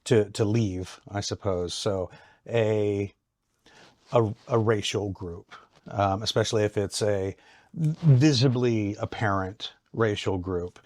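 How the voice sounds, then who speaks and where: A middle-aged man with an American accent explains ideas like a lecturer, in a lowish voice, over a video call. He talks in a measured, hesitant way, with frequent ums and restarts. His delivery stays calm and steady. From Ohio, United States. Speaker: psychology lecturer, guest. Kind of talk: explanatory academic talk.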